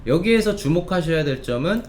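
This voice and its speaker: A man presents stock picks as the host of an online review show. His voice sits a little high and swings animatedly up and down. He speaks politely and formally, stretching hesitations between phrases, with noticeable ambient noise behind him. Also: animated, a little fast, darkish tone.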